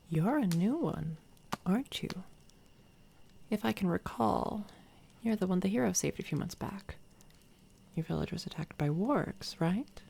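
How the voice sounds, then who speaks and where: Woman as a gravelly, soft-spoken smug villainess. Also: fairly low pitch, slight background noise. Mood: quietly threatening. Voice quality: soft, breathy, often slipping into whisper. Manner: taunting, condescending, unhurried. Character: smug, menacing villainess doppelganger from another timeline. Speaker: ASMR roleplay performer. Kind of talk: binaural soft-spoken ASMR roleplay. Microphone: close binaural microphone.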